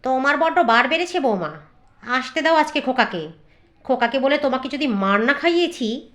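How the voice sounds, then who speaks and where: Cartoon casting: woman as domineering older mother-in-law, commanding and scolding, somewhat high voice. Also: animated, normal speed, fairly bright tone. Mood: outraged. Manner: commanding, stern. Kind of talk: cartoon voice acting.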